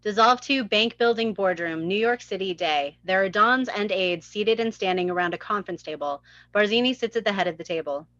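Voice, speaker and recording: A woman's voice: mid-range voice, fairly quick, few pauses, a bit bright, no room sound. A woman reading stage directions as scripted narration at a live table read. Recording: dull, slightly muffled close audio.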